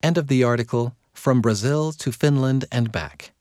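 A man narrating a magazine article from a script in a measured, clearly phrased read-aloud delivery. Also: mid-range voice, darkish tone, dry recording, clean studio sound.

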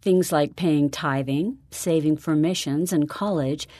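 Woman playing a gentle, mellow-voiced mother. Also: low-pitched, unhurried, no room sound, voice pushed down. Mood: explaining. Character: gentle, patient, understanding mother of young children. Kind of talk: children's story dialogue reading.

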